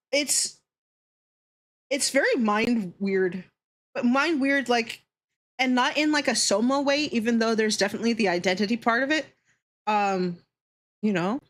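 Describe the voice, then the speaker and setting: A woman with a fairly high voice hosts a casual livestream. She talks to her viewers in an animated, opinionated manner and swears freely as she goes. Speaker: livestreamer hosting a Q&A.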